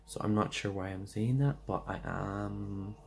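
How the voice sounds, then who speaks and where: A man giving a casual tarot card reading, hoarse and losing his voice, rambling loosely with lots of fillers. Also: fairly low pitch, a little slow, slight background noise.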